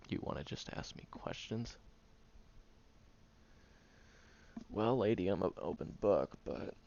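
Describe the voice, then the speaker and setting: A low, heavily creaky young man's voice acted by a man in an intimate audio roleplay, blunt and defensive with a caring core. He talks straight to the listener in a halting, grumbling way, his pitch moving freely, on a slightly dull home recording. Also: animated, darkish tone, slight background noise. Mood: grudging. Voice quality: gravelly, low. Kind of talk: audio roleplay acting, one-sided dialogue addressed to the listener.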